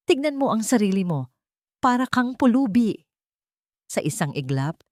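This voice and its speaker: A woman narrating a scripted story in a melodramatic, deliberate way over music. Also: medium pitch, dry recording, clean studio sound. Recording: music bed under the voice.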